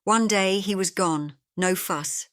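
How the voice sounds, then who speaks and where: A woman's voice: medium pitch, takes it slowly, a bit bright, dry recording, studio quality. A woman playing a watchful landlady in an audio drama, matter-of-fact and clipped, with a faintly knowing air. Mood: guarded, knowing. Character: brisk, watchful English landlady of an old block.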